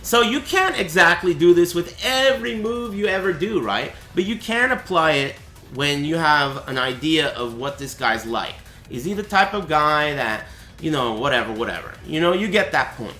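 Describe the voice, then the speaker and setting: A man with a raspy, cracking voice, teaching a fighting game on a live stream in a casual, explanatory way. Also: fairly high pitch, expressive, normal speed, sharp tone, echoey room, slight background noise. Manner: chatty, explanatory, asks "right?" often.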